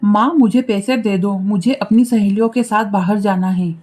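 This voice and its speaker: A woman's voice: medium pitch, normal speed, a little mellow, lightly acted. A woman voicing a spoiled, insolent young bird daughter in a cartoon story, with a whiny, dismissive manner. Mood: demanding. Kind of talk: animated moral story acting.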